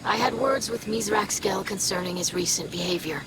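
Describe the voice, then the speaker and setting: A woman's voice: medium pitch, moderate pace, heavy background noise, lightly acted. A woman playing an earnest young alien scribe in a video game, formal, precise and resolute. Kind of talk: video game character acting. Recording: loud music behind the voice.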